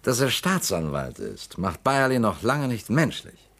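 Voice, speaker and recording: A man's voice: lowish voice, measured pace, heavily acted. A sly scheming male politician. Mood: probing. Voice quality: sly, insinuating, lively phrasing. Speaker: politician Quinn. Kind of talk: radio drama acting.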